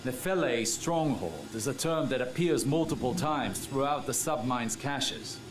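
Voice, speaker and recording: A man playing a haunted, watchful strategist in a game cutscene, speaking gravely and deliberately in poetic monologue. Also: medium pitch, lively intonation, noisy background, natural voice. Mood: brooding. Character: brooding male seer and strategist, haunted, watchful.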